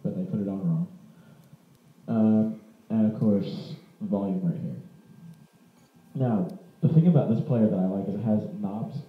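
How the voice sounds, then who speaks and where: A roomy, slightly muffled home recording of a man with a fairly low voice. He walks through a piece of gear in an informal, rambling way, correcting himself as he talks like a hobbyist vlogger. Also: measured pace, long pauses. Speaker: vlogger presenting vintage audio gear. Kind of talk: casual unscripted product demonstration. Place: indoor room.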